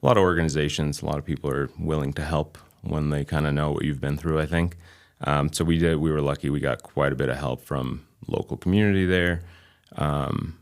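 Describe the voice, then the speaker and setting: A man in his thirties, a native Albanian speaker from Kosovo with a light New England accent, talking as a guest in a measured, reflective way with frequent pauses. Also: extremely low pitch, fairly even intonation. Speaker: guest chiropractor. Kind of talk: casual interview chat.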